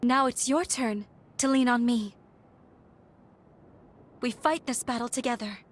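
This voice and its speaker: An actress playing a gentle young woman fighter in a game cutscene, sincere and heartfelt. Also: a little high, measured pace. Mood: earnest, tender. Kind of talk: dramatic game cutscene dialogue.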